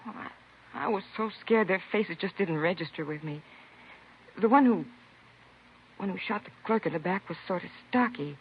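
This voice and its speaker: An actress playing a frightened female crime witness in a vintage radio drama, halting and repeating her words. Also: lowish voice, close to an everyday voice. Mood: nervous. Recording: tinny, hissy old radio recording.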